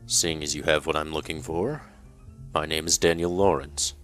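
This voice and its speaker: A man playing a calm, curious detective. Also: very deep voice, faint music behind. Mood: inquisitive. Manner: measured, polite and inquisitive.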